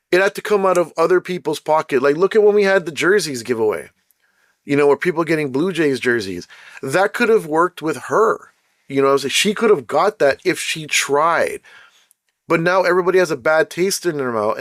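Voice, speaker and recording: A man hosting his own channel, ranting bluntly and emphatically, his voice going hoarse with frequent throat-clearing. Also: on the high side, animated, moderate pace, no room sound. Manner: blunt, emphatic, repeats points. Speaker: channel host. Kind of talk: casual ranting commentary.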